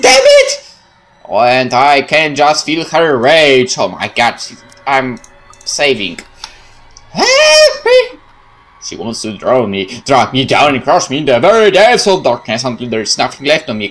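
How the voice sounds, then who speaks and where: Very animated man. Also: a little high. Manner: dramatic, expressive, often exclaiming. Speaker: gaming video host. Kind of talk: reading a horror visual novel aloud, with reactions. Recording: music behind the voice.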